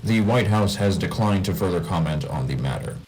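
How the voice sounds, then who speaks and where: TV news anchor, very low voice, formal and measured, faint hiss. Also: male voice, lively intonation, a little mellow, poor audio. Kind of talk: scripted 1980s TV news broadcast.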